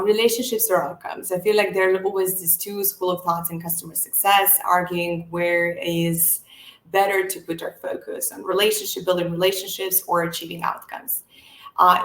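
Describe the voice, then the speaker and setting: A woman from Serbia presents a business session in a measured, earnest way, often pausing on "uh" and "um". Her voice sits a little low. The room around her sounds echoey. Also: fairly bright tone. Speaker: conference speaker.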